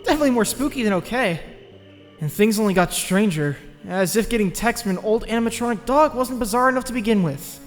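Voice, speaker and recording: A man's voice: high-pitched, animated, quick pace, in a large hall, soft background music. A man playing an earnest, nerdy, anxious teenage boy in a dramatized comic dub. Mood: thoughtful, uneasy. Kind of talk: dramatized comic dub, dialogue and first-person narration.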